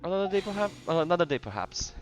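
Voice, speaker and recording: A man's voice: sits high, very animated, fast talker, rough recording. A man giving casual, hesitant commentary as he streams a game playthrough. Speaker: gaming streamer. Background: game music.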